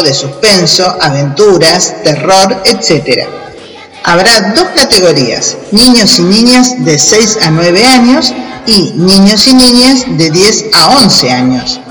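High-pitched Argentine man in long reverb. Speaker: children's radio program presenter. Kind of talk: scripted announcement addressed to children. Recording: long reverb with music underneath.

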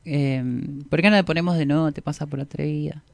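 A woman with an Argentine accent chatting casually as a musician guest in an interview, playfully joking in a deadpan way. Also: low voice, fairly quick, creaky, dry recording.

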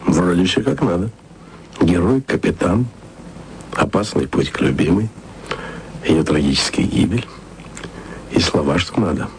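An actor playing a wistful radio archivist who speaks softly and intimately to his beloved in a radio play. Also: animated, measured pace, long pauses, a little hiss or hum, rough recording. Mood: musing. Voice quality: low, gravelly voice. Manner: soft, intimate, sometimes whispered. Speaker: radio man speaking to his distant lover.

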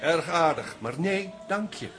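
A pompous professor in a radio comedy. His pitch is on the high side and swings widely, and he phrases everything formally, like someone easily offended. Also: animated, unhurried, heavy background noise. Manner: formal, precise wording, pompous. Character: stiff, easily offended, self-important male professor. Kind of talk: Dutch radio comedy drama acting.

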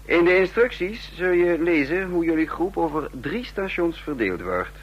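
A commanding, sardonic intelligence chief in a radio drama. His delivery swings freely in pitch and is slightly husky, brisk and teasing. The recording is dull and lo-fi. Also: lively intonation. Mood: brisk, instructing. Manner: brisk, teasing, giving orders. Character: sardonic, commanding male chief of secret agents. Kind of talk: Dutch radio play acting.

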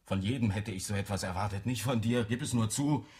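A man's voice: on the low side, brisk, a little mellow, close to an everyday voice. An actor playing an indignant, suspicious husband in a radio play, accusatory and melodramatic in his phrasing. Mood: outraged, bitter. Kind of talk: German radio play acting.

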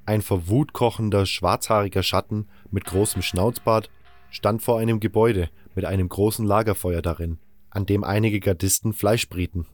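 A man's voice: fairly low pitch, fairly even intonation, moderate pace. A man reading scripted first-person narration for a fantasy fan audio drama. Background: music.

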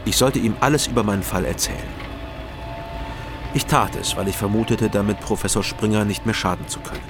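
An actor narrating a crime drama in the first person, in a calm, measured way. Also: male voice, on the low side, close to an everyday voice. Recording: music playing behind.

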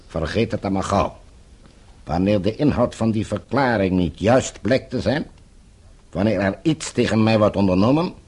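An actor in a radio play, playing a coldly polite blackmailing villain with formal, measured menace. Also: male voice, fairly low pitch, a little mellow, slightly rough. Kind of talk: radio drama acting.

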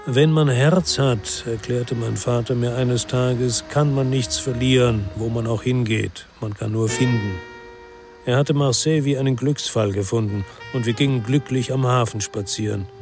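A world-weary former policeman, played by a male actor tells his own story in a crime radio drama. His voice sits at a medium male pitch. The delivery is measured, reflective and restrained, with a brooding undertone. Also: fairly even intonation, over music, lightly acted. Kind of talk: literary crime drama narration and dialogue.

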